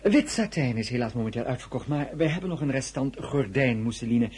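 Radio drama: male actor as fawning, nervous merchant's clerk, chatty and hesitant, mid-pitched with big swings in intonation. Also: animated, fairly quick, darkish tone, slight background noise, lightly acted. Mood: obliging, salesmanlike. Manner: obsequious, chatty, hesitant, stammering. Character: flustered, deferential male shop clerk at a silk merchant's.

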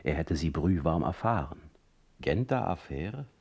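An actor playing a sardonic, proud exiled poet in a radio play, speaking in eloquent, ironic, deliberately phrased monologue. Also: male voice, low-pitched, lively intonation, vocal fry, a little mellow, dry recording, exaggerated voice. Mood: ironic, troubled.

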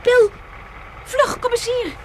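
An actress playing an anxious, earnest wife surviving in the countryside in a Dutch radio drama. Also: female voice, very high voice, fairly even intonation, slow pace, a little hiss or hum. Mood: alarmed.

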